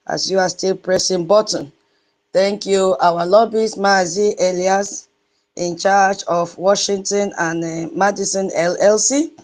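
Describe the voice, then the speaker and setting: A woman's voice: fairly low pitch, darkish tone. A woman with a Nigerian accent hosts a live online audio room, reeling off greetings and welcomes to each listener in turn. She shifts into a lilting sing-song when she greets people in her local dialect. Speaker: host of an online audio space.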